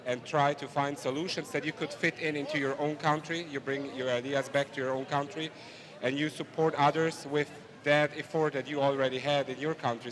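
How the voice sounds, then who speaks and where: A man who grew up in Switzerland, a police officer, answering interview questions in a calm, plain way. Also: medium pitch, big-room sound. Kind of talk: interview answers, conference delegate.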